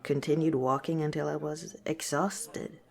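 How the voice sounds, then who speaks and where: Female orc tavern owner, played by a woman: lower register, slow delivery, gentle and hesitant, intimate ASMR storytelling. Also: flat delivery, vocal fry, full character voice. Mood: confiding.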